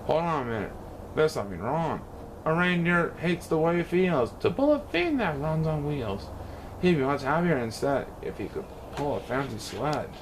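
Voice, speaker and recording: A man reading a rhyming children's storybook aloud in a theatrical, expressive way. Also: on the high side, normal speed, background noise. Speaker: storybook reader.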